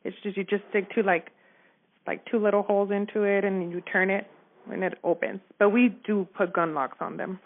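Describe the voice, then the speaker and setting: A woman talks over the telephone, her mid-pitched voice squeezed into a narrow, muffled band. She recounts things informally, as in a casual witness interview, and keeps checking that the listener follows her. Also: flat delivery, normal speed. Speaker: store manager giving a witness account. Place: telephone call. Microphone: phone line. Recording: narrow muffled phone-line audio.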